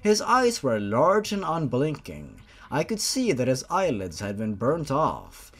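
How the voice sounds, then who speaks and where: A man narrates a horror story dramatically. His medium-pitched voice moves up and down a great deal as he reads. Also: wildly swooping pitch, unhurried. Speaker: host reading a fan horror story aloud.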